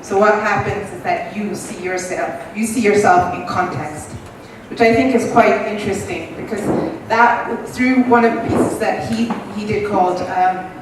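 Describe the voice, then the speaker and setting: A woman giving a public talk, thoughtful and often pausing with ums. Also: mid-range voice. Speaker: guest speaker. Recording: echoey room from far off, noisy.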